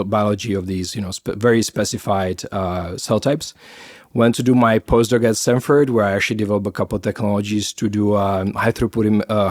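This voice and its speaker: A middle-aged Czech-accented man with a lowish voice speaks in a podcast interview. He explains carefully, stacking "you know" and restarting phrases as he goes. His delivery is thoughtful and technical. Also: a little mellow. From Czech Republic. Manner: explanatory, frequent fillers and restarts. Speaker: biotech founder.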